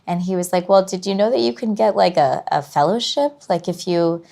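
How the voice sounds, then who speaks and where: A woman's voice: lowish voice, creaky, mellow. A woman from Southern California with an American accent, chatting casually and readily as an interview guest. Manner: chatty, quick to laugh. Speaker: guest, curator and academic.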